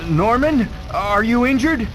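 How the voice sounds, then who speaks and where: An actor playing a nervous, hesitant scientist assistant in a video game, polite, deferential and worried. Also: male voice, very high-pitched, animated, a little slow, heavy background noise. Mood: alarmed. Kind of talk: dramatic video game voice acting.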